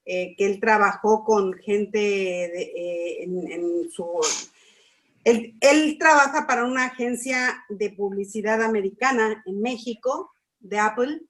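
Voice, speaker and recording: A woman's voice: mid-range voice, low-quality recording. A middle-aged woman speaking casually in a church discussion, thinking aloud with small fillers. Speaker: church class participant. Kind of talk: casual conversation.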